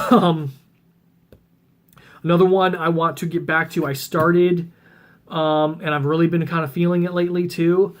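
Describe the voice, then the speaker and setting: A man's voice: fairly high pitch, little pitch movement, a little slow, long pauses. Guy rambling about his reading list, lots of ums, voice getting kinda scratchy. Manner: rambling, many ums and restarts. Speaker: book vlogger. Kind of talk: casual chat about reading plans.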